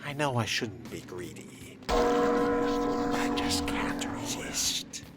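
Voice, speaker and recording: A man's voice: lowish voice, huge pitch swings, slow, full character voice. A man playing a suave, predatory vampire in video game role-play, speaking formally and theatrically in a sardonic, often whispery murmur. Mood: sly musing. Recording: voice over loud game music.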